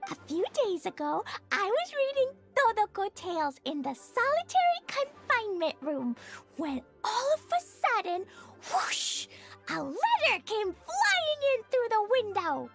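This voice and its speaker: A tiny, excitable girl with an extremely high, swooping voice. She speaks unhurriedly, with guileless eagerness, as a video game character over background music. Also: unhurried, full character voice. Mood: eager storytelling. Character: excitable innocent little girl.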